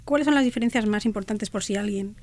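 A woman's voice: mid-range voice, fast, a little mellow. A woman hosting a radio interview, reading her welcome and then asking the guest questions. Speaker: radio host.

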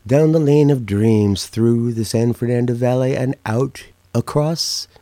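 A man's voice: medium pitch, measured pace, mellow, no echo. A man hosting a radio show in a folksy, theatrical showman's manner.

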